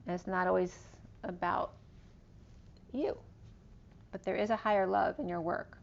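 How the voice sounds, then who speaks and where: A woman giving a casual tarot reading, rambling through cards and star signs, her voice going hoarse. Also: mid-range voice, steady tone, measured pace. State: losing her voice. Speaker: tarot reader. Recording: dull lo-fi recording.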